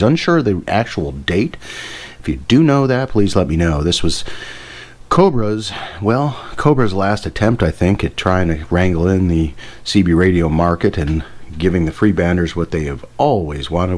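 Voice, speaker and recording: Deep-voiced man, rambling hobbyist. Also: darkish tone. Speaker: radio hobbyist presenter. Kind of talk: casual hands-on product demonstration. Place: indoor workbench.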